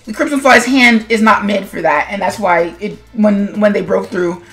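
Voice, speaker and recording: A woman's voice: mid-range voice, moderate pace, fairly bright tone, soft background music, rough recording. A woman talking casually as an animator and video host, in a rambling, self-deprecating, conversational way. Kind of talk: casual commentary vlog on own animation.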